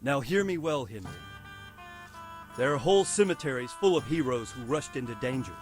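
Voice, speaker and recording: A man's voice: mid-range voice, lively intonation, soft background music, natural voice. A man playing a stern wounded lord. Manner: authoritative, measured briefing. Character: wounded, stern male lord commanding a siege. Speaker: military commander.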